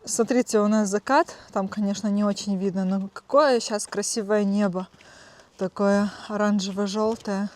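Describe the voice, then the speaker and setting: A woman's voice: medium pitch, fairly even intonation, moderate pace, a little mellow. A woman in her thirties from Transnistria, chatting casually to camera and explaining things step by step with plenty of fillers. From Transnistria, Moldova. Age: 30s. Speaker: vlogger. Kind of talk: casual vlog talk to camera. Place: outdoors and at home, walking.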